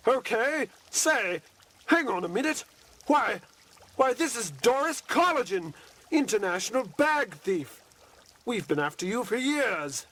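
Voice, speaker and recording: Man doing eager beaver cop, high bouncy voice, earnest old radio play parody. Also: very animated, measured pace, fairly bright tone, exaggerated voice. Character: eager, gee-whiz male policeman.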